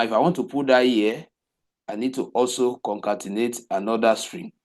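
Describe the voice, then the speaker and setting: Man, coding teacher, slow and repetitive walkthrough, mid-range voice. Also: mid-range voice, darkish tone. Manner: slow, repetitive step-by-step explaining, asks rhetorical questions. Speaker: web development teacher. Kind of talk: live coding class walkthrough.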